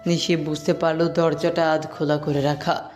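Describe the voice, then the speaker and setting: Storyteller for a scripted audio romance, mid-pitched narration over background music. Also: little pitch movement, normal speed, darkish tone.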